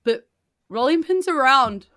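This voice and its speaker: A woman's voice: high voice, animated, measured pace, sharp tone, pitched above the performer's own voice, full character voice. A woman voicing a flustered, dim male bartender in a tabletop game. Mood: baffled.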